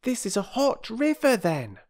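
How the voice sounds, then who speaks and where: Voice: medium pitch, big pitch swings, a little slow, a little mellow, voice pushed up. A narrator voicing an eager, chirpy young girl in a children's storybook. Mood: playful, bossy.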